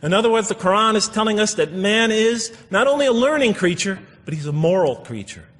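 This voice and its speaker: A man's voice: sits high, expressive, brisk, fairly bright tone. A man giving a public religious lecture in an explanatory, reflective manner, reciting verses and commenting on them. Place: lecture hall before an audience. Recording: echoey hall with long reverb.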